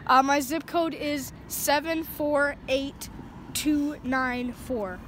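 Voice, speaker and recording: A woman's voice: high voice, fairly even intonation, slow, a bit bright. A woman prank-calling a scammer, playing dumb and then mocking him. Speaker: prank caller baiting a scammer. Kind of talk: prank phone call. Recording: noisy phone call.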